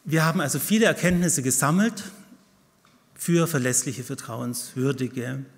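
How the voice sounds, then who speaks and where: A middle-aged man with a Swiss German accent giving a conference keynote from a lectern, lively and wry, with self-deprecating jokes. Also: mid-range voice, measured pace. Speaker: keynote speaker, professor. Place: large conference hall with audience. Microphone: lectern mic at a podium. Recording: gravelly voice in a reverberant hall.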